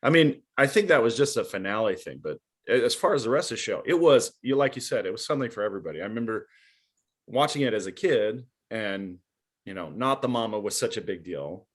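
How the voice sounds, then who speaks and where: A man's voice: fairly low pitch, animated, brisk. Young guy hosting an interview, friendly, chuckles a lot. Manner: friendly, chuckling, prepared questions. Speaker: interviewer, host. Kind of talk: casual interview questions.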